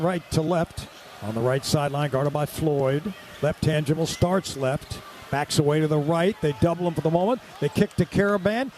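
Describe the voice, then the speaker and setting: Male American radio sports play-by-play caller; high, animated voice, clipped phrasing, noisy arena behind him. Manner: clipped present-tense phrases. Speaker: play-by-play announcer. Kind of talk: live radio basketball play-by-play commentary. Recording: clear crowd and arena background noise.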